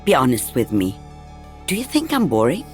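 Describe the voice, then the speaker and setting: A woman's voice: a bass voice, huge pitch swings, normal speed, darkish tone, faint music behind, full character voice. Wry elderly female sniper, swooping intonation. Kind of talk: video game character voice lines.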